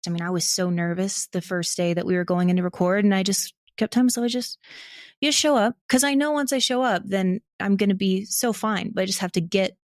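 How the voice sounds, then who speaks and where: A woman's voice: mid-range voice, brisk, no echo. Candid woman chatting, close dry sound. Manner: candid, animated self-quoting, frequent "like". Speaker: podcast guest, audio drama creator. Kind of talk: casual interview chat.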